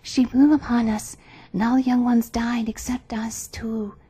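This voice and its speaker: Woman voicing a high, cooing, mournful wood pigeon. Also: level tone, mellow, husky. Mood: plaintive, cooing. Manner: drawn-out cooing calls. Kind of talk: storybook character voice.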